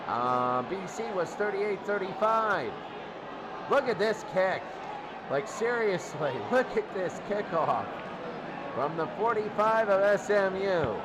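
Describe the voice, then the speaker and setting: A man doing live football play-by-play, excitable and emphatic, often repeating his phrases. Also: high-pitched. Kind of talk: live sports commentary. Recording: noisy recording with loud crowd. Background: loud crowd noise.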